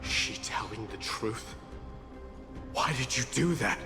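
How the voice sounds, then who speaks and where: An actor playing a proud young warrior prince in a video game, forceful, indignant and dramatic. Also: male voice, on the low side, animated, unhurried. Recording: long hall reverb under loud music.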